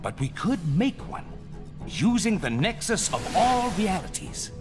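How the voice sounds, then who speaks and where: Learned, expressive sorcerer. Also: male voice, high voice, measured pace, music in the background. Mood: thoughtful. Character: solemn, learned male sorcerer. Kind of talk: animated game cutscene acting.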